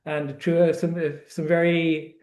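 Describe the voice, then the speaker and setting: A man in his forties with an Irish accent, his voice sitting a little higher than most men's. He tells his story in an unhurried, reflective way, often doubling words as he searches for them. The sound carries a noticeable room echo. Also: level tone, darkish tone. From Ireland. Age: 40s. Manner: reflective, repeats words as he gathers thoughts. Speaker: guest author. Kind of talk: casual interview storytelling.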